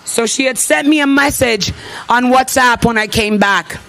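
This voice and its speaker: A woman's voice: a little high, normal speed, vocal fry, a bit bright, poor audio. A woman in her thirties giving an impassioned, emphatic rally speech as an activist, with repeated phrases and emotion in her delivery. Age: 30s. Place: at a rally before an audience.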